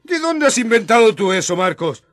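An actor playing a blustery, hot-headed male disciple in a colloquial Latin American radio drama, husky and prone to stammering. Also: sits high, very animated, normal speed, no echo, clean studio sound. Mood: indignant. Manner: blustering, stammering when flustered.

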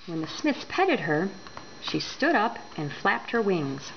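A woman reading a children's book aloud indoors, expressive and deliberate. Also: medium pitch, gravelly. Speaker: storyteller. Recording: dull home recording with background noise.

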